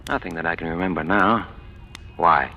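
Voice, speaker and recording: A man's voice: deep voice, normal speed, creaky, sharp tone, a little hiss or hum, heavily acted. A man playing a sardonic, wisecracking driver for a crime boss, in a 1940s radio noir style. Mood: sullen, needling. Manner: wisecracking, slangy, laconic. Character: wry, sardonic hired driver for a small-town crime boss. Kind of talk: 1940s radio noir acting with first-person narration. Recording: dull old radio recording, music behind.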